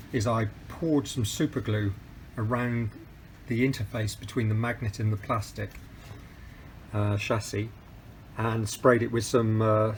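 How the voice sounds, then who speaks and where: A middle-aged man, talking through a workbench repair in a plain, methodical way with mid-range pitch. He pauses with ums and uhs as he explains each step. A faint hiss sits under his voice. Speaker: DIY repair tutor. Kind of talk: hands-on repair demonstration.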